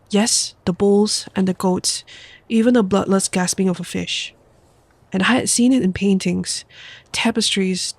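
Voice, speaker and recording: A young woman with a stuffy nose from a cold, reading a book aloud softly as an ASMR streamer into a close microphone. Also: mid-range voice, creaky, slightly rough, dry recording. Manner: soft, quiet, unhurried reading voice. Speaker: VTuber streamer.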